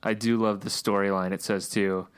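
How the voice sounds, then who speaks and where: A man co-hosting a podcast in casual chat, relaxed and chatty, laughing often, saying he is losing his voice. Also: on the low side, normal speed, smooth. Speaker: podcast co-host.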